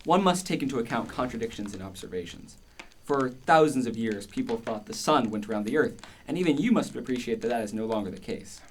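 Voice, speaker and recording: A man's voice: mid-range voice, animated, brisk, slight background noise, natural voice. A man playing a bold, speculative philosopher in a radio play, instructive and questioning in manner. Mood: earnest. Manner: didactic, questioning, explains by analogy.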